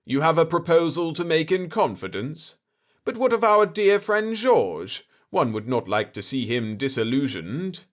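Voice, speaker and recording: A man's voice: a little high, sing-song, unhurried, voice pushed up. A man voicing a burly, pompous villain potentate in an audiobook story, florid and theatrical with his threats. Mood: smug, sly.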